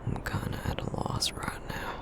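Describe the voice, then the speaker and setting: A man voicing a gentle sorcerer boyfriend with a Southern accent, speaking softly and hesitantly with many fillers in an ASMR roleplay. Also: lightly acted. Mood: soft whisper. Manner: soft, hesitant, halting with fillers. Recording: lo-fi phone-like sound with loud noise.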